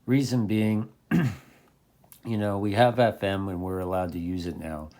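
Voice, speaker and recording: Male hobbyist presenter, low and very mellow, informal recommendation style with halting, repetitive phrasing. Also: fairly even intonation. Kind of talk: casual product recommendation talk.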